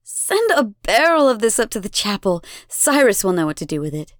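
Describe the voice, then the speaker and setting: A woman's voice: medium pitch, sing-song, normal speed, dry recording, clean studio sound, lightly acted. A woman voicing a languid, imperious goddess who drawls in a mockingly sweet way.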